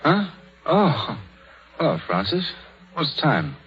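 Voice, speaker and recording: An actor playing a young, idealistic, self-sacrificing surgeon with a wry bitterness in a 1930s radio drama. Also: male voice, fairly high pitch, wildly swooping pitch, slow pace, husky, heavily acted. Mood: tipsy, wry. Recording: muffled 1930s radio broadcast with music.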